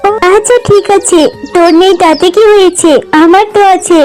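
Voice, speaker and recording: A woman's voice: very high-pitched, steady tone, moderate pace, a little mellow, faint music behind. A woman voicing a generous, cheerful little girl in a children's cartoon, childlike and eager.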